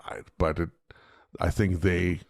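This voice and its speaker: Male co-host, very low with vocal fry, conversational and halting. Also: flat delivery, dry recording. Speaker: podcast co-host. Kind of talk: casual panel chat.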